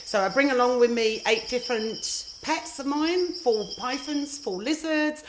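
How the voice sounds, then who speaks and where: A woman's voice: high-pitched, measured pace, roomy sound, background noise. A middle-aged woman who presents a snake show, answering interview questions in a chatty, upbeat, self-deprecating way.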